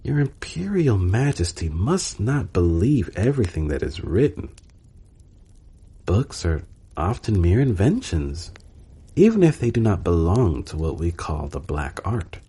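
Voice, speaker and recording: A man voicing a deferential yet haughty gentleman courtier, formal and prim, in a storybook reading. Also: deep voice, big pitch swings, measured pace, slightly rough, heavily acted. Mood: placating. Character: deferential, haughty male courtier serving an emperor.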